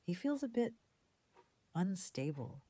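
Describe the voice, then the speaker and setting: An actress playing a shrewd, composed female community leader in an audio drama, measured and articulate in manner. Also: fairly low pitch, very animated, mellow, slight background noise. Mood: businesslike. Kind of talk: fan audio drama acting.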